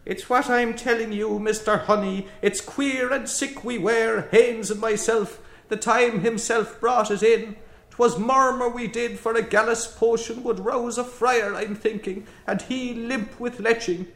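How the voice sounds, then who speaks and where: A man's voice: very high voice, fairly even intonation, a little slow, a bit bright, roomy sound, full character voice. An actor playing a boisterous, mocking young Irishman in a literary audio drama, exclaiming and declaiming theatrically with an Irish accent. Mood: mock wailing.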